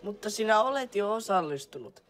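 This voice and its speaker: Sensible, fair-minded young cartoon chick, medium pitch, voiced by a woman. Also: big pitch swings, lightly acted. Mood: cautious. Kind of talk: cartoon fandub acting.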